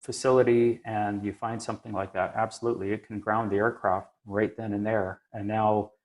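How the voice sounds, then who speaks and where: A man talking through technical points in a conversational, measured and halting way, like an experienced expert thinking aloud. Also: lowish voice, flat delivery. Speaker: aviation maintenance engineer and inspector, podcast regular. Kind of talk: conversational explanation.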